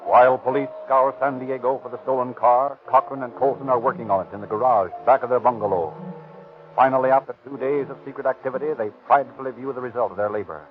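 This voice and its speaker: A thin vintage radio broadcast with music carries an American man narrating a drama. He is formal and dramatic, at a middle pitch. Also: fairly even intonation, fast talker, phone-quality sound. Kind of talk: 1930s radio scripted narration. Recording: thin, band-limited 1930s radio broadcast.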